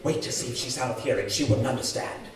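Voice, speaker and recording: An actor playing a fanatical, grandiose young scientist in an old-time radio horror drama, declaiming with feverish excitement. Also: male voice, mid-range voice, fast talker, slight background noise, natural voice. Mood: secretive, triumphant. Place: live stage show in a community hall. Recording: echoey community hall, far from mic.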